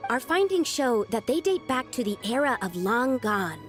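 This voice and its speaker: A woman's voice: on the high side, sing-song, normal speed, faint music behind. A woman voicing an earnest, polite young scholar in a video game, sincere and a little timid. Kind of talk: English video game voice acting.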